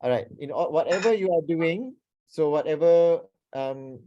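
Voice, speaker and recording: Mellow male lecturer with Malaysian accent. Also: a little high, animated, normal speed, dull audio, a little hiss or hum. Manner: repeats himself, checks in with participants. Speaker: lecturer, workshop speaker. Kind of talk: interactive online seminar teaching. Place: online video call.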